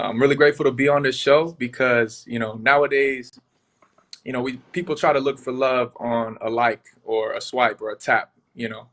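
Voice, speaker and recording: A man's voice: mid-range voice, a bit bright. A man in his twenties introducing himself earnestly as a contestant on a dating show. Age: 20s. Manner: earnest, polished. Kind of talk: self-introduction.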